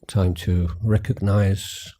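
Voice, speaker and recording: Male meditation guide. Low, even pitch, measured and gentle retreat talk, unhurried phrases with long pauses. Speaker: Buddhist meditation teacher.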